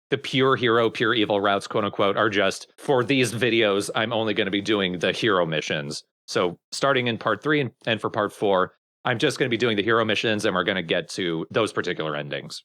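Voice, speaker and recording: Animated man doing casual game commentary. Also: fairly low pitch. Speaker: let's play host. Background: game music.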